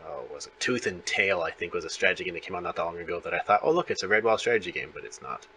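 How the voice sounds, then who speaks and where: A man's voice: low-pitched, expressive, very fast, pushed and bright, slight background noise. A man interviewing in a casual, chatty and enthusiastic fan-to-fan way. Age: adult parent of young children.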